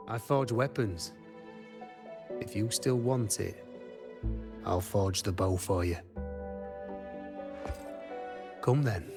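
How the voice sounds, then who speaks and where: Calm game weaponsmith: lowish voice, very unhurried, reflective, music underneath. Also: male voice. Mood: resolved, warm. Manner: measured, reflective. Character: calm, philosophical male weaponsmith and archer's mentor. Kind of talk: video game voice acting.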